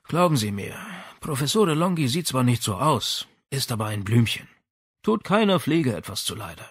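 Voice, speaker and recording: A man's voice: mid-range voice, big pitch swings, moderate pace, breathy edge, no echo, clean studio sound. A man voicing a self-deprecating, confiding maestro with a wry, offhand manner in a crime audiobook.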